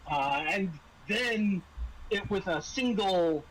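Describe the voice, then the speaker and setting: A man running a tabletop horror game as game master, improvising scene descriptions with halting, dramatic pauses. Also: high voice, expressive, a crowd in the background, rough recording.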